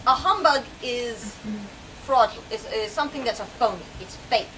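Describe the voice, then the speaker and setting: Lively lady running a book talk, slightly high voice, far off the mic with lots of background noise. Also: moderate pace. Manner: animated, asks questions, shifts into dramatic reading. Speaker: storyteller leading a book talk. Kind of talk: dramatic reading and discussion with children. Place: bookstore event space. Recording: distant mic, noisy room.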